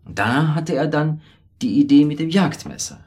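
A man playing a weak middle-aged husband in a radio drama, confessing in a hesitant, rambling, self-justifying way with a husky voice. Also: fairly high pitch, expressive, normal speed, darkish tone. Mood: defensive, confessing. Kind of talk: naturalistic German radio drama acting.

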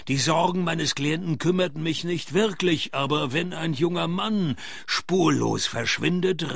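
Radio play: dry, sardonic male private eye. Gravelly fry, slightly raised register, deadpan narration and dialogue. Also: fairly high pitch, dry recording, full character voice.

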